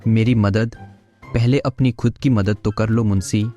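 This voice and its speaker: A man's voice: on the low side, fairly even intonation, a little slow, dark tone, lightly acted. A man voicing a stingy, shrewd rich village boss in a cartoon, with a condescending manner. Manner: condescending, sarcastic. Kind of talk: Hindi cartoon voice acting. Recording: music behind the voice.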